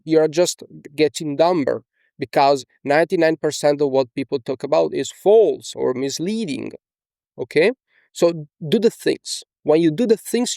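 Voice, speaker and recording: A man's voice: on the high side, creaky, mellow, dry recording, studio quality. A young man from Italy with an Italian accent, talking casually as a coach in a screen-share tutorial, often tagging on "okay?" and fillers. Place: small indoor room.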